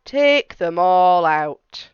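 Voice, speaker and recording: A calm storybook wise old man, put on by a woman reader, speaking very slowly and deliberately. The words are stretched out and clearly projected. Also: on the low side, no room sound, lo-fi sound, voice pushed down, heavily acted. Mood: calm, advising. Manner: measured, drawn-out instructions.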